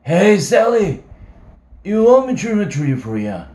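A man voicing a drunk teenage boy in a story, yelling, repeating himself and pleading. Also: high-pitched, sing-song, a little slow, pitched above the performer's own voice. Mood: pleading, loud.

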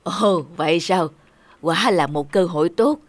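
A woman voicing a caring, thoughtful elderly father in a cartoon drama, gentle and questioning, with music behind. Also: lowish voice, unhurried, no room sound. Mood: gentle concern. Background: background music.

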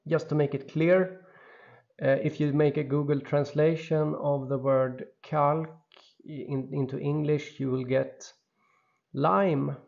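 Man, Swedish accent, slow halting delivery, gravelly, dull lo-fi sound. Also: fairly high pitch, level tone, darkish tone. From Sweden. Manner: halting and insistent, with many restarts. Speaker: video creator. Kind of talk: monologue voice-over.

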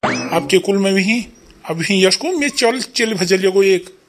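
A man's voice: sits high, unhurried, a little hiss or hum. A man voicing a grumbling, worried village father with a Kumaoni accent in an amateur cartoon comedy. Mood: aggrieved.

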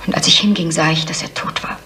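Guarded, low-voiced innkeeper's daughter. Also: female voice, steady tone, fast talker, sharp tone, whispered. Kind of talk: German radio crime drama acting. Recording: faintly noisy 1970s radio play.

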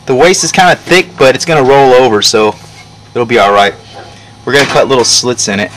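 A man's voice: mid-range voice, brisk, a bit bright. A young man giving an informal, step-by-step how-to narration outdoors, recorded on a camera mic. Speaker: hobby metalworker demonstrating. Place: outdoor work yard. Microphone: camera mic a short distance away. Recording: noisy outdoor camcorder audio.

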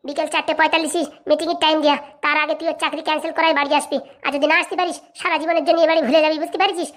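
A woman's voice: high voice, flat delivery, fairly bright tone. A woman voicing a furious, domineering wife in a comic cartoon, scolding and threatening. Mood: commanding, threatening. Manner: scolding, threatening, rapid-fire. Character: furious bossy wife, domineering mistress of the house.

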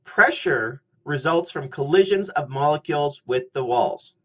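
A middle-aged man giving a scripted chemistry lecture at a steady, methodical pace, recorded on a webcam in a small room. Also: a little high. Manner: measured, methodical explaining. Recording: slightly muffled lo-fi audio.

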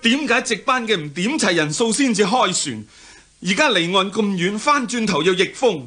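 An urgent young hero in command of a ship. His voice is high, sharp and very animated, acted for a radio drama. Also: normal speed, faint music behind, full character voice. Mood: alarmed, commanding. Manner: commanding, forceful. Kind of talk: Cantonese radio drama acting.

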